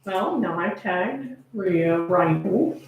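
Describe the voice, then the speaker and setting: A woman's voice: fairly low pitch, expressive, slow, phone-quality sound. A woman hosting a livestream in casual banter, playfully sarcastic and sweary. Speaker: livestream host. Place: echoey room, away from the mic. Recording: echoey room, distant mic, noisy.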